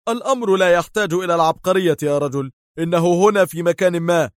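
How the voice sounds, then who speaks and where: A man voicing a stern, angry armed intelligence agent in a storybook thriller, with a sharp, agitated delivery. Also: sits high, fast, no room sound, studio quality, pitched above the performer's own voice, close to an everyday voice. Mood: stern, impatient.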